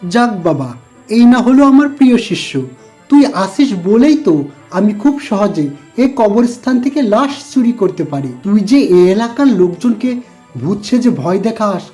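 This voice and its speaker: A woman acting a sly cartoon graveyard villain, smug and scornful, with a dark, mellow tone. Faint music plays behind the voice. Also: a little high. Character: sly corpse-stealing villain, smug, praising a disciple.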